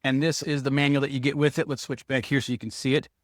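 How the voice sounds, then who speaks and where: A man's voice: mid-range voice, level tone, fast talker, no echo, clean studio sound. A man giving a casual hands-on product review, speaking to viewers and thinking aloud as he demonstrates.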